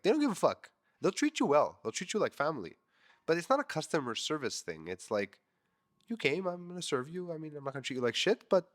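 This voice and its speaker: A man's voice: mid-range voice, a bit bright. A man in his thirties with a congested, nasal voice, hosting his podcast in a candid, rambling monologue that swears freely. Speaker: podcast host. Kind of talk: casual monologue.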